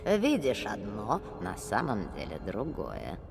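Creepy old cartoon witch, super slow and swoopy, fake polite, sly villain. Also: rumbling low, wildly swooping pitch, creaky, heavy background noise, exaggerated voice. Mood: mocking. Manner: slow, insinuating, mock-polite drawl. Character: cunning, sinister old witch, menacingly courteous villain. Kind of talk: theatrical animated film acting. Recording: long cavernous reverb, film music.

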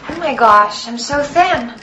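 A woman's voice: on the high side, animated, measured pace, bright, forceful tone, a little hiss or hum, low-quality recording. An actress playing a possessed young girl in a radio horror drama, childlike, plaintive and eerily polite. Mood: plaintive, fretful.

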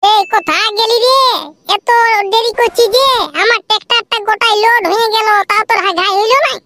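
An actor voicing a bossy, scolding young village labourer in a comedy cartoon, loud and crude, with a pitched-up effect. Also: fairly even intonation, brisk. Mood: impatient, bossy. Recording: noisy recording.

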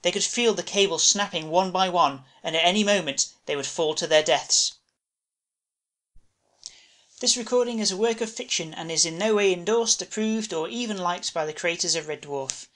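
Bright-voiced man narrating a story. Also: sits high, moderate pace. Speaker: narrator and writer.

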